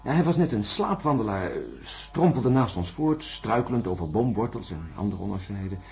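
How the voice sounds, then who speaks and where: An actor playing a shaken male astronaut in a radio play, telling his story in a hesitant, halting way. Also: lowish voice, animated, gravelly, darkish tone. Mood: recounting. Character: shaken male astronaut recounting a jungle ordeal.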